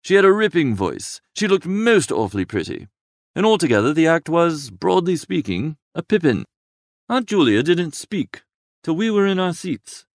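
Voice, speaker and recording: A man reading a first-person literary story aloud as an expressive narrator. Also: a little high, moderate pace, no echo, clean studio sound. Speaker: audiobook narrator.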